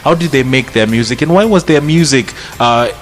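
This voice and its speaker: A man co-hosts a radio show, pressing his guest with probing questions. His pitch is middling, and he jumps in with lively remarks. Faint music plays underneath. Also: fairly quick. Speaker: radio host. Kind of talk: radio talk show interviewing. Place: radio studio.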